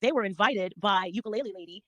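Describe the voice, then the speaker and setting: A middle-aged woman in a casual, gossipy chat, telling her story in a blunt, confrontational, animated way and swearing freely. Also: mid-range voice, fast talker, dry recording, studio quality.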